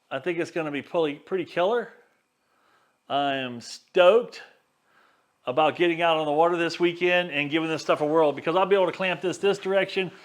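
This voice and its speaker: A man's voice: a little high, fairly bright tone. A man casually talking viewers through his boat gear setup in an upbeat, chatty way. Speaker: vlogger. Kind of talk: casual how-to vlog. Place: backyard workshop and boat.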